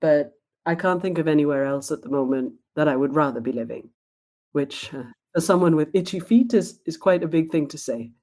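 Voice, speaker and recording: A woman who grew up in Spain, speaking as a guest in a podcast interview in a thoughtful, measured way. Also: deep voice, mellow. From born in Sweden, raised in Spain. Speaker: guest, diversity trainer.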